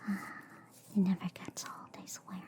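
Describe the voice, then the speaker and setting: A woman whispering softly and breathily in a soothing, playful ASMR roleplay, close to the microphone. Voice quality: soft, breathy, mostly whispered. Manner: soothing, unhurried, playful reassurance. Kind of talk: soft ASMR roleplay as a friendly alien doctor.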